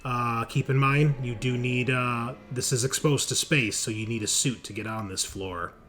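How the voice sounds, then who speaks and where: A man's voice: mid-range voice, steady tone, normal speed, fairly bright tone, slight background noise. A man casually running a tabletop roleplaying game as game master, explaining rules in a conversational way. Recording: faint music behind the voice. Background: faint music.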